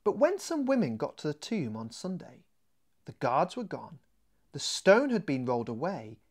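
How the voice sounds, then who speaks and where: Animated male children's storyteller. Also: on the high side. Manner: deliberate, dramatic pauses. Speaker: author reading his children's book. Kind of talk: expressive scripted children's storytelling.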